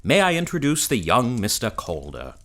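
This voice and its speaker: A hearty gentleman of the old radio melodrama kind, played by a man. His medium-pitched voice swoops up and down with courtly, effusive good cheer. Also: wildly swooping pitch, moderate pace. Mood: teasing, genial. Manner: hearty, courtly, repeats himself. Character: jovial, effusive gentleman family friend. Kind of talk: period radio drama acting.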